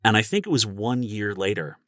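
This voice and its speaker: A middle-aged man, an actor appearing as a podcast guest, telling casual anecdotes with emphatic, animated phrasing. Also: fairly low pitch, brisk, no echo, clean studio sound.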